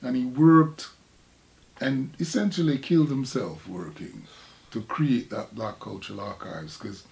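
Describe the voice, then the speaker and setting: An older man from Jamaica with a Jamaican accent, an artist recalling his past in a halting, reflective conversation. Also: mid-range voice, creaky, a little mellow, rough recording. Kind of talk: casual recollection in conversation.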